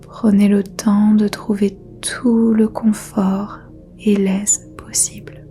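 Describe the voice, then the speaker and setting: A woman whispering a guided yoga nidra meditation as its teacher, soft and unhurried throughout. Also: medium pitch, little pitch movement, soft background music.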